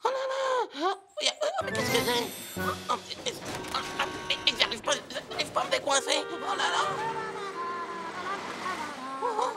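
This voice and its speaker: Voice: very high-pitched, very animated, a little slow, vocal fry, long pauses, heavily acted. An actor voicing a small hot-tempered cartoon vehicle in a French children's dub, pleading and exclaiming, with music behind. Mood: flustered.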